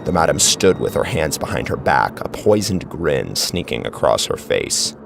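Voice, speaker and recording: A man's voice: extremely low pitch, very animated, moderate pace, creaky, slight background noise. A man narrating a scripted horror story in a dramatic style.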